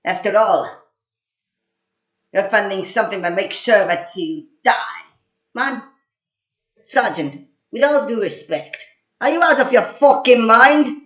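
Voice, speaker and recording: Casting: male actor as blunt, sweary, rambling squad member for fan audio drama; slightly high voice, roomy echo. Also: expressive, takes it slowly, pauses often, fairly bright tone, exaggerated voice. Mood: alarmed, pleading. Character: blunt, foul-mouthed male squad member named Johnson. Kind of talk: amateur audio drama acting.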